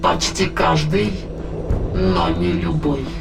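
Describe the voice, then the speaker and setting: An ancient, sinister demonic being holds forth in an audio drama. The voice is extremely gravelly and creaky, with solemn, archaic, declamatory delivery. Music plays clearly behind it. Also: mid-range voice, slow pace. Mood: solemn, menacing. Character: sinister ancient demonic spirit, solemn, gloating, coldly patient. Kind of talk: dramatized audio play, formal archaic monologue. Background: dramatic background music.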